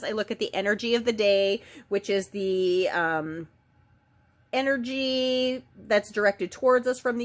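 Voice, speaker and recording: A woman with a head cold and a slightly nasal voice, giving a casual spiritual oracle card reading in a chatty, gentle way. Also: a little high. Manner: chatty, gentle, with frequent ums and self-corrections.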